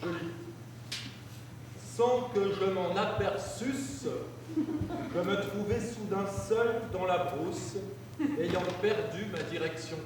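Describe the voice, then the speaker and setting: A man on stage reading grammar examples and old texts aloud in a deliberate, declamatory way, in a live performance. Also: high voice, noisy background. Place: large hall, live performance. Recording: echoey hall from far off.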